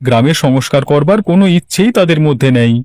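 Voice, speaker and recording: Cartoon villager , male actor: slightly high pitch, earnest fretting farmer, very dry sound. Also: animated, fast talker, darkish tone, no room sound, close to an everyday voice. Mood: worried, complaining. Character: worried plain-spoken village farmer men. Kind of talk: Bengali cartoon dialogue.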